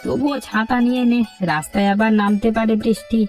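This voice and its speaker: A woman's voice: on the high side, steady tone, brisk, a little mellow, distant mic, soft background music, natural voice. A woman voicing a friendly, agreeable villager in a cartoon story. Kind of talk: Bengali cartoon story dialogue.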